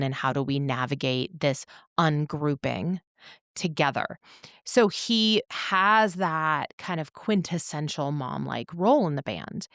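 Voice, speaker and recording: A woman co-hosting a podcast, speaking in a measured, articulate way as she reflects and analyzes. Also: low voice, clear, resonant tone, no echo, studio quality. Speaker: podcast co-host.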